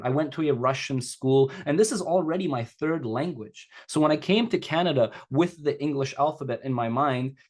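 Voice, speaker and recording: Man, middle pitch, casual earnest talk, video call. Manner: casual, earnest, conversational with a few laughs. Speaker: secondary teacher and speaker. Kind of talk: personal talk to an audience. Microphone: remote video call.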